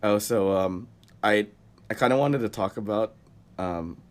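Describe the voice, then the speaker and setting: A man's voice: on the low side, unhurried, noisy background. A young man co-hosting a casual podcast, talking through a cold in a rambling way and chuckling often. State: has a cold. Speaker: podcast co-host.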